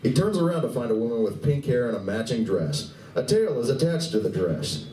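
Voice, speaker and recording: A man's voice: mid-range voice, very animated, a little fast, dark tone, natural voice. A man narrating a scripted live radio play as a wry storyteller. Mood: storytelling. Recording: roomy live venue, distant mic, faint noise.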